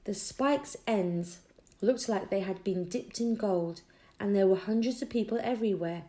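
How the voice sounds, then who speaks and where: A woman narrating a children's audiobook expressively, with deliberate storytelling phrasing. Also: medium pitch, mellow. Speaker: audiobook reader.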